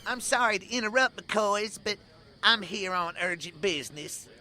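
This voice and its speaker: A man as a bright, high-voiced remorseful cowboy. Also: lively intonation, moderate pace, soft background music, full character voice. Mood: apologetic, wary. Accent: rural American. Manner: folksy, apologetic. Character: humbled, remorseful cowboy with bandaged, blistered face. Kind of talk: comic Western audio drama acting.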